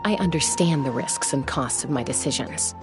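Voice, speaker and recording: An actress voicing a composed, sensible young woman in a video game, dry and measured. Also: rumbling low, lively intonation. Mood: calm, dry. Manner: measured, dry, matter-of-fact. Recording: music behind the voice. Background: game music.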